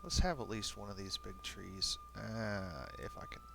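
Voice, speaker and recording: A man's voice: fairly low pitch, measured pace, gravelly, noisy background. A man live-streaming alone at his desk mic, rambling and thinking aloud with many fillers, a bit sniffly. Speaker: streamer making battle maps. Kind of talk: casual live-stream talk to himself. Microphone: desk microphone. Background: quiet background music.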